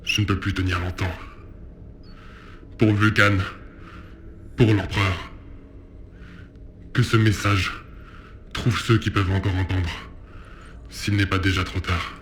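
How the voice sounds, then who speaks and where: Slow, wounded space marine lieutenant. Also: male voice, sits low, little pitch movement, fairly bright tone, slight background noise. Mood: pleading, solemn. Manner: slow, solemn, halting delivery. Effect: mechanical helmet radio filter. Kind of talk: audio drama distress message.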